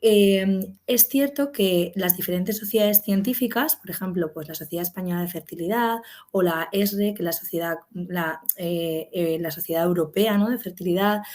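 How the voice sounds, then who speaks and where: A woman's voice: fairly low pitch, normal speed, few pauses, darkish tone. A woman gynecologist from Spain, a clinic's medical director, giving a webinar talk over a video call in a conversational way, with frequent fillers and tag questions.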